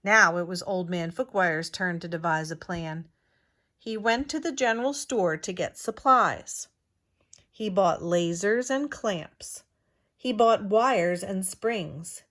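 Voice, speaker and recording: A woman reading a children's storybook aloud in a deliberate, expressive teacher's manner. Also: medium pitch.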